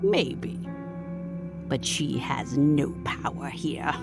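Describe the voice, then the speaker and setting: Cold ancient female demon, very slow, low, imperious, music underneath. Audio drama. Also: lively intonation, creaky, full character voice. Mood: taunting. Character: ancient cold female entity possessing a young woman.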